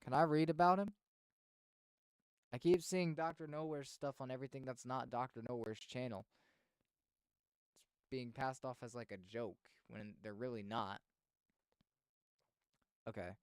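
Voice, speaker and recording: A man streaming casual reactions and commentary, expressive and thinking aloud as he goes. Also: medium pitch, measured pace, long pauses, smooth, no echo.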